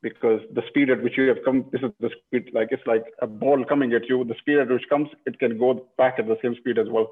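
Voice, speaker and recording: Fast-talking man on a tinny line. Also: medium pitch, fairly even intonation, husky. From Pakistan. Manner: reflective, with frequent restarts and "you know". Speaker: guest. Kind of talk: casual interview chat.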